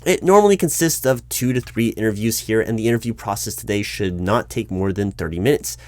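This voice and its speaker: A man's voice: lowish voice, a little fast, no echo. A man speaking aloud in a one-sided job interview roleplay as a polite, officious recruiting manager, in a formal and wordy corporate way.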